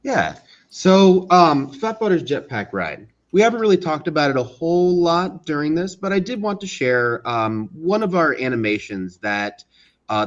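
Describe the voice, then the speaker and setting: Man explaining hesitantly over a dull call. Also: medium pitch. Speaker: guest, animation and game creator. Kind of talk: casual interview chat. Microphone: online video call. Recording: slightly dull, lo-fi online call.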